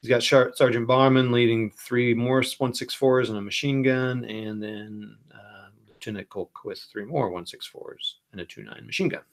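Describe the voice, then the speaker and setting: A man hosting a board game stream, calmly explaining the rules with lots of ums, talking into a computer mic. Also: medium pitch, gravelly. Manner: unhurried, many ums, thinks aloud over rules. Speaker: wargaming stream host. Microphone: computer mic at his desk.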